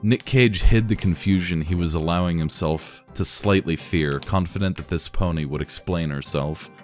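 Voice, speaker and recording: A man reading a fan story aloud in a dry, deadpan narrating manner. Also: deep voice, moderate pace, faint music behind. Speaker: reader.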